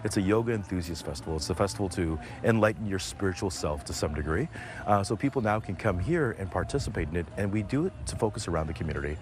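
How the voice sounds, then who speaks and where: A man's voice: low voice, animated, quick pace. A man from Toronto, a festival organizer, speaking earnestly in an interview outdoors at a street festival. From Toronto, Canada.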